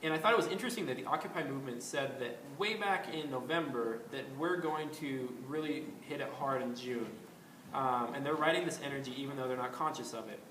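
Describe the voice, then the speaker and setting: Male host, casual rambling delivery, mid pitch, roomy echo, faint background hiss. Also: brisk, fairly bright tone. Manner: rambling, many ums and restarts. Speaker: astrology video host. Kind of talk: casual spoken commentary. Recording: echoey room with faint ambient noise.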